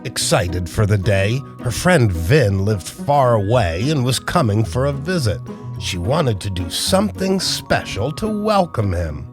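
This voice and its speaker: Animated, slow-paced man hosting children's storytime. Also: medium pitch, breathy edge. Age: older. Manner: playful, exaggerated, theatrical, addressing children directly. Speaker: children's entertainer, storytime host. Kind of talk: animated children's read-aloud and jokey intro.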